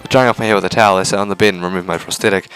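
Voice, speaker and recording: A man's voice: low-pitched, fairly even intonation, racing, a bit bright, smooth. A man reading a visual novel aloud as a let's player, in a narrating style with casual reactions, over game music. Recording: music behind the voice.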